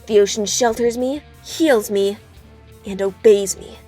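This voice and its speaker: A woman playing a proud, defiant female water warrior with a composed manner, in an animated fan dub. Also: medium pitch, unhurried, faint music behind, lightly acted.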